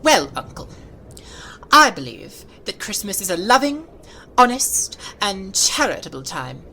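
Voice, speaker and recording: A woman's voice: medium pitch, very animated, unhurried, bright, forceful tone, a little hiss or hum, pitched above the performer's own voice, exaggerated voice, rough recording. A woman voicing a cheerful, good-hearted young nephew who pleads earnestly with his uncle.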